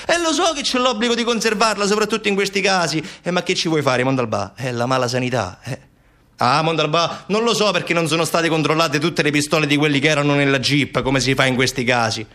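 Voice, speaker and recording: A man's voice: a little high, a little fast. A man playing an evasive, sarcastic official in a colloquial, theatrical satirical monologue. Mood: sarcastic, shrugging. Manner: colloquial, sarcastic, animated rhetorical asides. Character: evasive southern Italian official mockingly defending dubious forensic experts. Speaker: stage actor. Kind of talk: theatrical satirical monologue, one side of a conversation.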